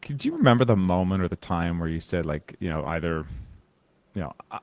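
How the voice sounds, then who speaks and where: A male podcast host speaks quickly and chattily, tossing in jokes and quick asides. His low voice sounds close and dry, with no room around it. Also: animated, darkish tone, no room sound. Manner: friendly, joking, quick interjections. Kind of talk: casual interview chat.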